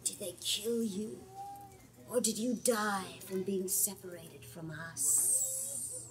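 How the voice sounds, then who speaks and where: A mournful female forest spirit played by a woman reading a storybook aloud speaks slowly and haltingly. She broods and grieves over each phrase, heard at some distance from the microphone. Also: mid-range voice, big pitch swings, over music. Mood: mournful, brooding. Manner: slow, halting, grieving delivery.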